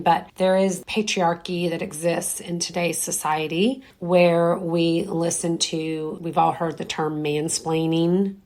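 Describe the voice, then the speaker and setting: Middle-aged woman host, hoarse and strained, lowish voice, chatty and reflective. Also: rough recording. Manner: reflective, conversational, many fillers. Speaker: podcast host. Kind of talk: solo podcast talk.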